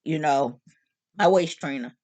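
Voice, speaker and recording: A woman in her late fifties talking openly to her audience in a casual, animated, joking monologue. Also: sits low, unhurried, no echo. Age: 50s. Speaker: vlog host.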